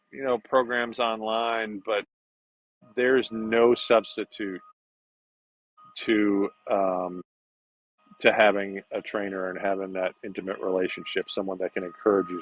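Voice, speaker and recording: A man in his fifties talking as an interview guest, in a halting conversational way with repeated words. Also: fairly low pitch, fairly bright tone, a little hiss or hum. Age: 50s. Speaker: podcast guest. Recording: dull lo-fi call audio.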